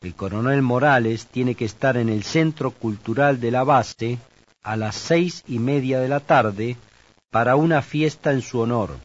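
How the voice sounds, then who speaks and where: Man reading a Spanish lesson, lo-fi tape. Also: medium pitch. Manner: slow, clear read-aloud delivery. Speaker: language course speaker. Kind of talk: scripted language lesson reading. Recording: dull lo-fi tape audio.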